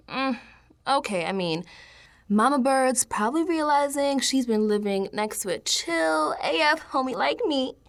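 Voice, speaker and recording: A woman's voice: on the high side, no echo, studio quality. An actress playing an exasperated, sassy young American woman in a colloquial radio drama monologue, slangy and confessional. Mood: wry, uneasy. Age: young adult. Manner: slangy, sassy, animated, sighing asides.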